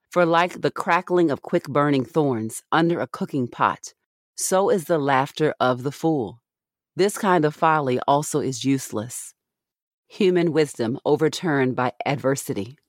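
A woman reads scripture with a solemn, deliberate delivery. Each phrase is set out in a measured way for an audio Bible podcast, and the sound is very dry, with little room around it. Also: very deep voice, no echo.

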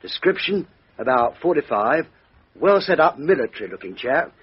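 A respectful police sergeant. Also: male voice, medium pitch, big pitch swings, moderate pace, breathy edge. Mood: reporting. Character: deferential young male police sergeant. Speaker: police officer. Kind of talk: 1950s radio drama acting. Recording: dull old radio broadcast.

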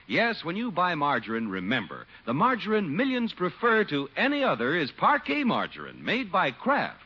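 A man's voice: a little high, wildly swooping pitch, moderate pace. A man announcing in a polished, emphatic 1950s radio sales style, reading from a script. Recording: dull, husky-sounding old radio recording.

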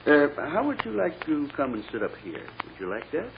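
An actor playing a kindly, patient judge with gentle, reassuring authority in a 1940s radio drama. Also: male voice, a little high, wildly swooping pitch, a little fast, vocal fry, exaggerated voice. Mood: gentle, soothing. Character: kindly, patient male judge calming a courtroom. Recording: dull, noisy old radio recording.

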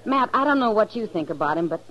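A woman's voice: medium pitch, sing-song, fast talker, a bit bright. An American actress playing a worried, frank frontier saloon woman in a 1950s radio western, confiding and informal, on a muffled recording. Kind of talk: 1950s radio drama acting.

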